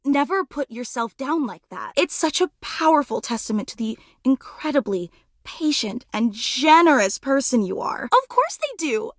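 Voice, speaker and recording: A clingy, fawning female superfan for a video game. Her voice sits high and swings widely in pitch as she gushes with overemotional, melodramatic devotion. The sound is dry and close, with no room to it. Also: very animated, moderate pace, dry recording. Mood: adoring, earnest. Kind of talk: video game character voice acting.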